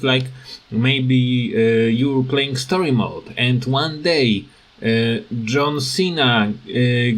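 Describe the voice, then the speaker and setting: A man with a mid-pitched voice gives an informal, unscripted gaming commentary. He speaks slowly and hesitantly, often stammering and stopping to search for the next word. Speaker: gaming video creator. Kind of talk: casual unscripted rant commentary on a wrestling video game.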